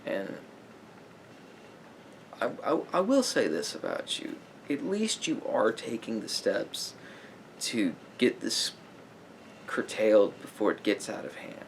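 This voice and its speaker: Soft-spoken ASMR roleplay with a man acting as a patient, probing male therapist. His low, gravelly voice moves very slowly and haltingly, sinking at times into breathy murmurs. There is steady room noise behind him. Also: full character voice, poor audio. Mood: calm, counselling. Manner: halting, deliberate phrasing with pauses and reflective murmurs.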